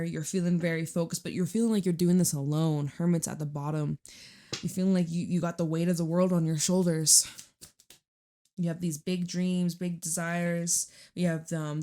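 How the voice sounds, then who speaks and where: Hoarse woman, raspy, lowish voice, casual tarot reading, chatty and reassuring. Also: a little mellow. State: hoarse from screaming. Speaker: tarot reader.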